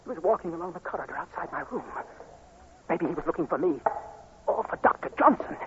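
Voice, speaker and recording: A blind man gripped by fear, his voice pitched a little high. He speaks and narrates with haunted, dramatic tension in vintage radio-drama style. The recording is muffled, with a faint layer of old noise. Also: very animated, fast talker. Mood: rising terror. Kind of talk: 1940s radio acting, first-person suspense narration. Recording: dull, noisy 1940s radio recording.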